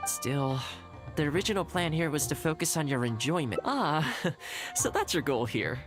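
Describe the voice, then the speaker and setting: A man's voice: on the high side, big pitch swings, moderate pace. An actor playing an easygoing, helpful young man in an anime game, casual and friendly. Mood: relaxed, reasonable. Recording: music behind the voice.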